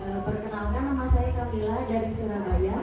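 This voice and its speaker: A woman from West Java introducing herself with lively enthusiasm and frequent laughter. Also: a little high, brisk, over music. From Ciamis, West Java. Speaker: participant. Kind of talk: casual self-introduction. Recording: echoey, from far off.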